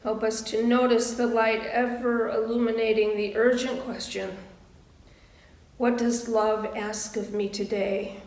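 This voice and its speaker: A woman minister giving a sermon and prayer in measured, deliberate short phrases with pauses. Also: fairly high pitch, level tone, a little mellow. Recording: roomy echo, distant microphone.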